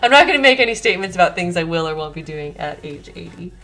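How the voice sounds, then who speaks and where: A woman's voice: lowish voice, animated, fast talker, fairly bright tone. A young woman chatting casually to viewers as a livestream host, playful and self-deprecating, with game music playing behind her. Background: video game remix music.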